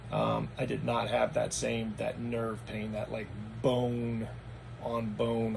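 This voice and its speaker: A man with a raspy, hoarse voice, talking through a casual vlog recap at home in a meandering, matter-of-fact way. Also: fairly low pitch. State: hoarse after anesthesia. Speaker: vlogger. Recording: noticeable background noise.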